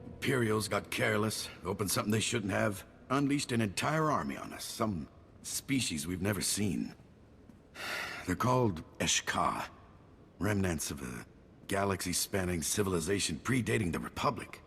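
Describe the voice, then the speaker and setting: An actor playing a gruff, battle-weary general with a clipped, wry military delivery in a video game cutscene. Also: male voice, deep voice, sing-song, moderate pace, a bit bright, slight background noise, exaggerated voice. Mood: grim, wry. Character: gruff, weary, wry male army general under siege.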